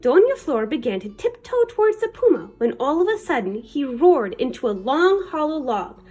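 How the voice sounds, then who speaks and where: A woman reading a children's picture book aloud in an expressive, dramatic manner. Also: high voice, normal speed, faint music behind. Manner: animated, dramatic. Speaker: children's story reader.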